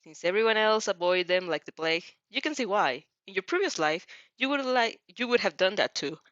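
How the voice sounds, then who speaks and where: A woman's voice: mid-range voice, animated, a little fast, a bit bright, no room sound, highs cut off. A woman hosting a casual fanfiction read-aloud, hesitant and giggly, often correcting herself as she goes. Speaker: host of fanfiction reading show.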